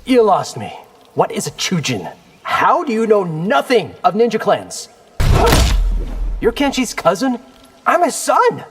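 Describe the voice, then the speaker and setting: Lively, wry young ninja. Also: male voice, high-pitched, a little slow, long pauses, slight background noise, heavily acted. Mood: puzzled banter. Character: young male ninja warrior, wry, defiant and earnest. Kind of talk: video game voice acting.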